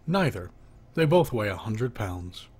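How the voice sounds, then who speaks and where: A man's voice: lowish voice, big pitch swings, unhurried, a little mellow, breathy edge. A man reading a children's joke book aloud, announcing who speaks before each line and lifting his voice for the questions. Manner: lifts his voice for the asking half of knock-knock jokes.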